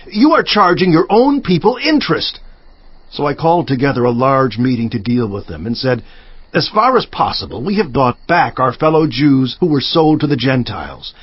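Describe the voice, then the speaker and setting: Solemn biblical governor, sweeping delivery. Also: male voice, fairly high pitch, breathy edge, no room sound, lo-fi sound. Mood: stern, indignant. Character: resolute, indignant biblical governor recounting his own deeds. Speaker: narrator of dramatized audio Bible. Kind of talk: dramatized scripture narration.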